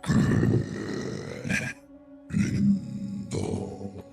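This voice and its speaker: A man voicing a rotting, menacing boogeyman creature with a guttural, gurgling voice and drawn-out, gloating delivery in an audio horror drama. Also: dark tone, faint music behind. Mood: gloating menace. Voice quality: guttural, gurgling, rotten-mouthed.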